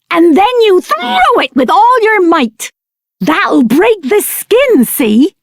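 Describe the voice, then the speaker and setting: A woman's voice: sits high, no echo, studio quality, heavily acted. A woman playing an eager, chatty, know-it-all villager in a video game. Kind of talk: video game character acting.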